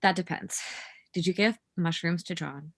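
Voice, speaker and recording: A woman's voice: fairly low pitch, moderate pace, fairly bright tone. A woman playing a scheming, matter-of-fact poison buyer in a comedy table read. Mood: probing.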